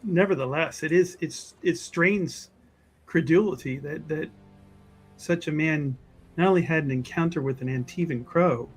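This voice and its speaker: A woman playing an inquisitive, easily astonished official in an improvised tabletop roleplay, speaking haltingly and dramatically. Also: sits low, a little mellow, slightly rough, soft background music. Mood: scandalized. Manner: halting, dramatic, repeats words for emphasis.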